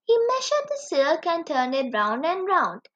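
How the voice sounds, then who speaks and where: A woman reading a children's story aloud as a storyteller, with expressive, animated delivery. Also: extremely high pitch, unhurried. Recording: dull lo-fi recording.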